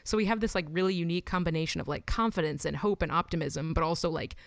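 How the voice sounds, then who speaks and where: Brief: woman in her 20s from Los Angeles, smooth lowish voice, chatty, dry close sound. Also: quick pace, dry recording. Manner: chatty, frequent "like" and "um", laughs between thoughts. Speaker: musician guest. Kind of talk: casual interview chat.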